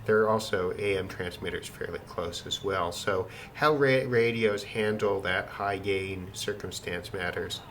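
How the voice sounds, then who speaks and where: A man's voice: deep voice, unhurried, few pauses, a bit bright, a little hiss or hum. A man giving a casual, unscripted demonstration, matter-of-fact and thinking aloud as he goes. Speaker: radio hobbyist demonstrating a receiver. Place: indoors at home in a city.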